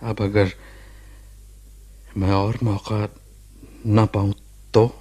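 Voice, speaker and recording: An actor playing a gentle ailing husband in a Hindi radio drama, speaking earnestly with pauses between phrases. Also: male voice, on the low side, level tone. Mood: tender, teasing.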